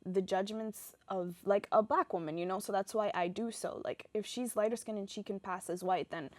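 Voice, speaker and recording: A woman's voice: medium pitch, fairly even intonation, moderate pace, no echo. Earnest young woman guest, dry sound. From United States. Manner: thoughtful, earnest, explaining. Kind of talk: casual discussion.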